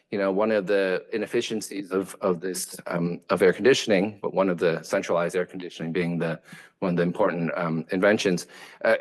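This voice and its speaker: A man whose first language is Vietnamese, an architect giving a lecture in a hesitant way, with many fillers and restarts. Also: sits low. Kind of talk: academic lecture.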